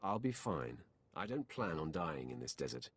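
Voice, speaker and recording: A confident dark warrior from a video game. His voice is deep and husky, with lively rises and falls. He sounds fearless, cool and menacing. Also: moderate pace, full character voice. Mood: resolute, calm. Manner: cool, self-assured, menacing.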